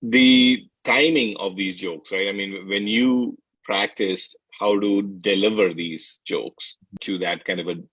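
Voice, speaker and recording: A male interviewer with a low, husky voice asks loose, winding questions and stops to search for words. The sound is close and dry, with no room around it. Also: fairly even intonation, slow, hardly any pauses, a bit bright, no echo, poor audio. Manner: asks long rambling questions with hesitations. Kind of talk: casual interview chat.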